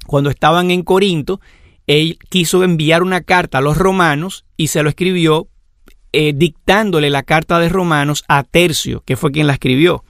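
Male Bible teacher, slightly raised pitch with some fry, patient explanatory lecture delivery. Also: fairly even intonation, fairly bright tone, no echo, clean studio sound. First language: Spanish. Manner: measured, explanatory, lists examples. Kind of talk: lecture on scripture.

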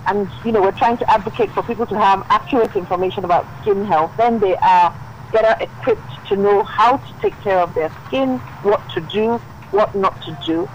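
A woman, a dermatologist, calling into a radio show and explaining calmly, with many "you know"s. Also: medium pitch, normal speed, slight background noise, poor audio. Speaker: dermatologist guest.

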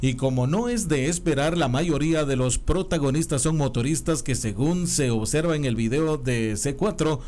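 A man reading regional news as anchor in a measured, formal way. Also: fairly high pitch, darkish tone, a little hiss or hum. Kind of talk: read news report.

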